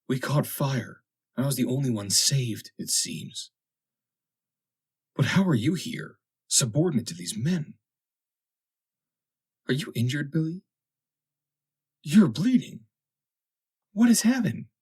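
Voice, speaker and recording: A man reading an audiobook, voicing an injured young woman who is gentle, earnest and calm. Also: mid-range voice, slow pace, pauses often, breathy edge, pitched above the performer's own voice, exaggerated voice. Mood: calm, concerned. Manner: soft, earnest. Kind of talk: dramatic audiobook character voice.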